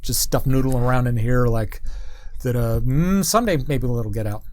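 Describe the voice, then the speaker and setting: A man in his forties chatting casually as an interview guest, rambling through anecdotes in an animated, enthusiastic way. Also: medium pitch, moderate pace. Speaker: creative director, interview guest. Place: small curtained studio set, indoors. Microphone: lapel mic.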